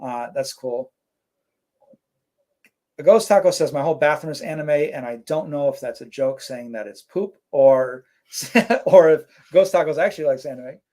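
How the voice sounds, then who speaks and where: A man's voice: on the high side, normal speed. A man co-hosting a casual live stream, reading out chat comments and rambling with lots of fillers. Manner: relaxed, rambling, many fillers.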